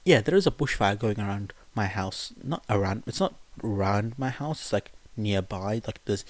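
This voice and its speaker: A man's voice: lowish voice, expressive, moderate pace, no echo. Man reading and chatting, dry close sound. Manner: informal, rambling, self-deprecating. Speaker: podfic reader. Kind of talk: amateur story narration with sung verses, casual rambling chat afterwards.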